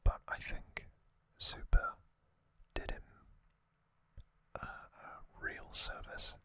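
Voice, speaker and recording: A man whispering ASMR commentary as the host of a trivia show, speaking in short, measured phrases. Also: extremely high pitch, flat delivery, pushed and bright, no echo.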